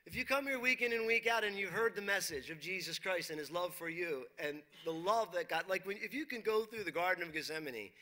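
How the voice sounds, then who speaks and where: A man's voice: high voice, brisk, few pauses, pushed and bright, poor audio. A man in his forties preaching a sermon on a church stage, emphatic, reading scripture aloud and asking the congregation questions. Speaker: pastor. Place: church stage, dark brick wall behind.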